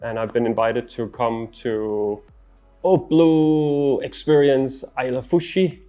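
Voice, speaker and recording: A man's voice: mid-range voice, steady tone, takes it slowly, dark tone. Danish-accented man with music behind him. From Denmark. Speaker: interviewed traveller and adventurer. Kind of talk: interview answers, storytelling.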